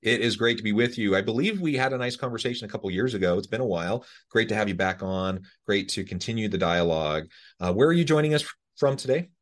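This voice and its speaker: Deep-voiced male podcast host. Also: expressive, quick pace. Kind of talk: podcast interview.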